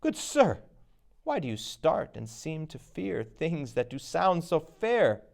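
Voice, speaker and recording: A man's voice: on the high side, very animated, slow pace, vocal fry, a little mellow, pitched above the performer's own voice, full character voice. A man playing a bold, curious noble warrior in a dramatic Shakespeare reading, declaiming his questions. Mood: challenging.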